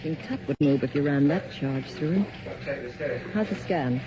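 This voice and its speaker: An actress playing a brisk, unsentimental hospital doctor who gives curt commands in a 1980s radio drama. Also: female voice, sits low, close to an everyday voice. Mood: commanding. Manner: curt, commanding, matter-of-fact. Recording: muffled old radio recording with loud hiss.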